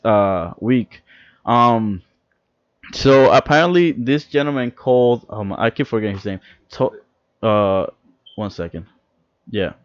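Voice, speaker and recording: A young man from the Dominican Republic rambles excitedly through casual podcast chat and curses freely. His voice sits at an ordinary middle pitch. The sound is dry and a little dull. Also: dry recording. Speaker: podcast co-host.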